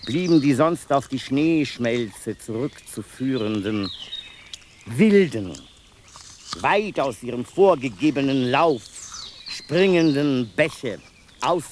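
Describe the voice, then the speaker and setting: An actor playing an institution inmate, a former priest, who formally and solemnly confesses grim deeds in a literary radio drama monologue. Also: male voice, mid-range voice, slight background noise. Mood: solemn, deliberate. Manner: deliberate, formal, solemn recitation.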